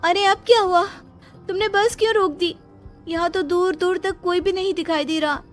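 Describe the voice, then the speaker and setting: Woman acting very high-pitched young female passenger. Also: steady tone, moderate pace, soft background music. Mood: indignant. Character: anxious, scolding young female bus passenger.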